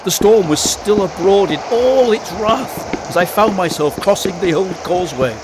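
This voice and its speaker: Grave, haunted storyteller, animated delivery. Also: male voice, high voice, normal speed, noisy background. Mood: aghast. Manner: grave, literary, theatrical. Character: haunted gentleman recounting a past horror. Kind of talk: dramatic scripted narration.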